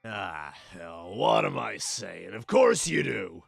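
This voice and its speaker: A man's voice: on the low side, sing-song, takes it slowly, creaky, dry recording, faint music behind, heavily acted. A man voicing a brash, macho teenage biker delinquent in an anime fan dub. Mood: tough-love. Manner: brash and macho, calls people bro.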